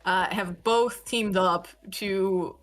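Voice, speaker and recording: A woman's voice: medium pitch, steady tone, slow pace, a bit bright. A woman co-hosting a livestream, playfully teasing and reading viewer comments aloud. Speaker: co-host reading chat. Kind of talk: casual livestream chat.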